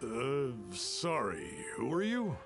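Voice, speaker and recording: A man's voice: medium pitch, big pitch swings, takes it slowly, gravelly, heavily acted. A man voicing a wary, easily offended townsman in a video game, with a curt, defensive manner. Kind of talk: English video game voice acting. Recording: game music behind the voice.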